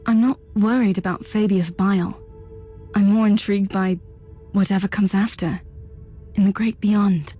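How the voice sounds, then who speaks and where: A woman's voice: mid-range voice, fairly even intonation, normal speed, dark tone, husky, soft background music, natural voice. An actress playing a resolute, fatalistic warrior woman with an intense, solemn manner in a video game cutscene. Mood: solemn resolve.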